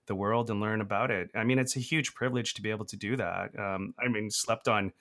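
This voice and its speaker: Bright-toned earnest man in his forties. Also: fairly low pitch, fairly even intonation, fairly quick. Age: 40s. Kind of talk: casual conversation.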